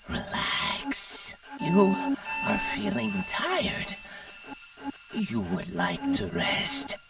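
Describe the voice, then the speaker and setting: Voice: mid-range voice, creaky, distant mic, exaggerated voice, low-quality recording. An actor voicing a sinister, coaxing hypnotist villain for a cartoon-style game, drawing the words out hypnotically. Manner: slow, drawn-out hypnotic delivery.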